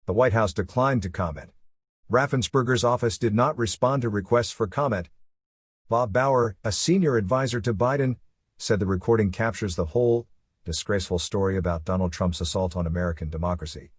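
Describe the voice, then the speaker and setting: A man reading a scripted news report in a formal, measured manner. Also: lowish voice, husky, no echo, studio quality. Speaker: news reader.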